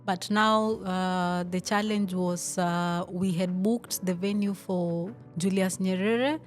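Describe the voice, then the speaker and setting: Young Kenyan woman, sales lead guest, relaxed explainer, faint music behind. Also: lowish voice, slow. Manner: relaxed, explanatory, laughs easily, many fillers. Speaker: interview guest, sales team leader. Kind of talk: casual interview, switching to Swahili to explain.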